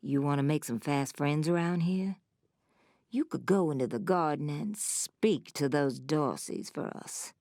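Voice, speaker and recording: A woman's voice: low-pitched, unhurried, mellow, no echo, studio quality. An actress voicing a tough, commanding woman sheriff in a video game, blunt and folksy, with a drawl. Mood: brisk, weary.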